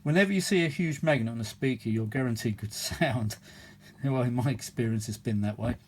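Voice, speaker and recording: Male, chatty hobbyist repairer, mid-pitched, casual hands-on commentary, matey and rambling. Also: fairly quick, a little hiss or hum. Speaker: vintage audio repair hobbyist and video host. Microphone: phone held or propped at the workbench.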